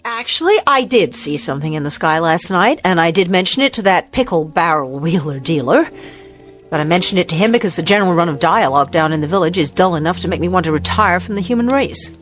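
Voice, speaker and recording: An actress playing a haughty, sharp-tongued fashion editor in a radio drama, wordy and sarcastic to hide her nerves. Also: lowish voice, animated, quick pace, soft background music.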